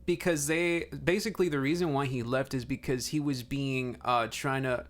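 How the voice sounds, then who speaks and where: A man chats as a podcast co-host in a medium-pitched voice. His talk is laid-back and informal, sprinkled with slang. It sounds like easy conversation between co-hosts. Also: fairly even intonation, moderate pace. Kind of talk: casual chat.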